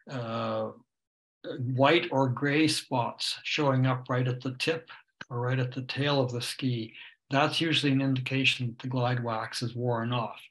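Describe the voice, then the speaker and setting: A man's voice: mid-range voice, fairly bright tone, poor audio. An older man with a Canadian accent, a ski instructor presenting a webinar from home over a video call, explaining steadily with many fillers. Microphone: webcam or computer mic on a video call.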